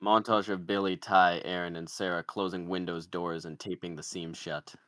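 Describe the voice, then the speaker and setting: A man's voice: low-pitched, little pitch movement, moderate pace, a bit bright, no room sound, studio quality. A man narrating, reading out the stage directions of a screenplay.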